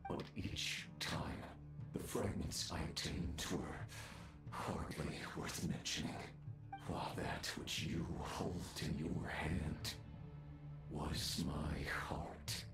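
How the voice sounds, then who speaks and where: An actor playing a menacing undying villain in a video game, speaking in a grandiose, theatrical manner. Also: male voice, very deep voice, steady tone, slow, echoey room, music in the background, full character voice. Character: menacing undying male villain, torn apart and reborn.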